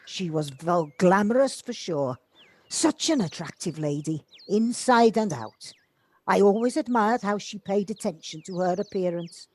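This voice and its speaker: A woman's voice: mid-range voice, animated, unhurried, darkish tone. Woman acting a kindly chatty neighbour lady, polite, bit reserved, voice goes up and down, amateur radio play. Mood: fond, admiring. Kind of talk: amateur audio drama acting. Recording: home-recorded on a personal device.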